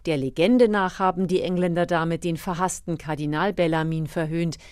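Lower-pitched female radio reporter. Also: steady tone, fairly quick, a little mellow, clear, resonant tone, no echo, clean studio sound. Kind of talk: scripted narration of a radio feature.

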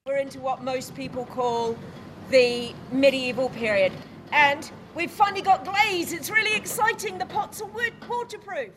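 A female archaeologist with a high voice explains informally to a group. She is recorded outdoors, with faint ambient noise behind her. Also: normal speed, a bit bright. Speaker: archaeologist leading a tour. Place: outdoors on a river shore.

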